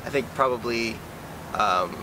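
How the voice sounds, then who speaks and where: A man's voice: medium pitch, unhurried. A young man, a student, casually and matter-of-factly telling his family's story in a street interview outdoors. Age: young adult. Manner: relaxed, matter-of-fact storytelling. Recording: outdoor traffic noise behind.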